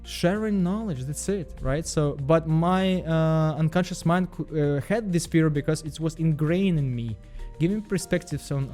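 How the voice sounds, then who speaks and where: Man from Belarus, self-help vlogger, higher voice, soft tone, faint music bed. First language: Russian. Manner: halting, repeats words, frequent fillers. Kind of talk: casual self-help vlog monologue.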